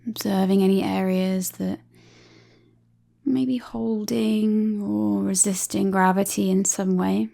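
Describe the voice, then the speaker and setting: A woman guides a relaxation in a soft, breathy voice that often drops close to a whisper. Her tone is mellow, with a creaky edge. She speaks very slowly and calmly, leaving long pauses between phrases. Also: mid-range voice. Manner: calm, soothing, unhurried with long pauses.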